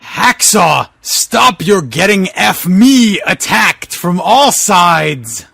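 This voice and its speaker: A man voicing a huge, gruff wrestler hero in a strained, overdramatic, gravelly style for a mock-dramatic story reading. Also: sits high, sing-song, extremely slow, pushed and bright, no echo, studio quality, exaggerated voice. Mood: strained, desperate.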